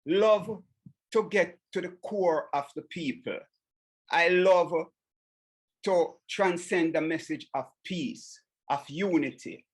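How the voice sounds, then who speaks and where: A Jamaican man, a singer, answering interview questions in a relaxed way and often adding "you know what I mean". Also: on the high side. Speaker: singer and songwriter guest.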